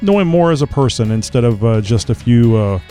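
A man's voice: medium pitch, expressive, brisk, dark tone, soft background music. A man hosting a casual chat show, rambling with lots of filler words, his voice a little crackly as he recovers from a cold. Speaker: podcast host.

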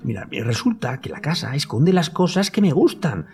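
A man's voice: a little high, sing-song, moderate pace, a little mellow. An actor with a Castilian Spanish accent playing a nervous, agitated man in an audio drama, rambling and repeating himself. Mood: excited, insistent. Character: agitated man convinced his house hides his favourite food.

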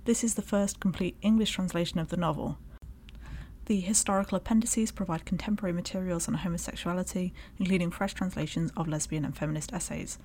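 A woman co-hosting a podcast, reading prepared introductions in a measured, articulate, bookish way. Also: fairly low pitch, steady tone, darkish tone, a little hiss or hum. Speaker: podcast co-host, academic. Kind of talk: scripted podcast narration and introductions.

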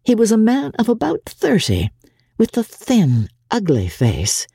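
A woman narrates literary fiction in a mellow, husky voice. Her storytelling is measured and expressive, with animated swings of pitch that give the prose a dramatic shape. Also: no room sound. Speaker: audiobook reader.

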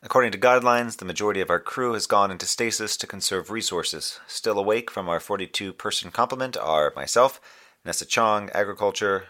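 Formal moon base officer, bright voice. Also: male voice, on the low side. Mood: formal, matter-of-fact. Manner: measured, official. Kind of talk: audio drama status report.

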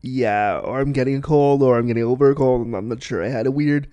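A man's voice: medium pitch, fast talker, mellow, dry recording, lo-fi sound. A man hosting a podcast, chatting casually and rambling, sniffly with a cold. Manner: chatty, rambling, repeats himself. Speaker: podcast host. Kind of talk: casual solo podcast chat.

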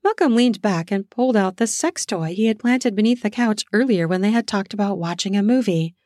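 A woman narrating an erotic audio story in a slow, sensual way, lingering on words for emphasis. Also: medium pitch, a little mellow, no room sound, studio quality. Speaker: storyteller, podcast host.

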